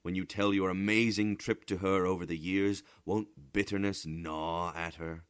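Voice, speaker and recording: A man's voice: deep voice, fairly even intonation, measured pace, smooth, dry recording. A stern old man as a male storyteller plays him delivers cynical warnings. He is emphatic and scolding, leaning hard on rhetorical questions, in a dry, close storybook reading. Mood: scornful.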